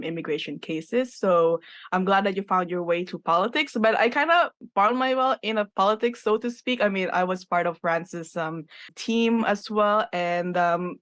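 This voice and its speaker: A woman hosting a casual chat, chatty and animated, often acting out her own past remarks. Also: fairly low pitch, dry recording, studio quality. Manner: chatty and animated, quotes herself a lot. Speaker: podcast host.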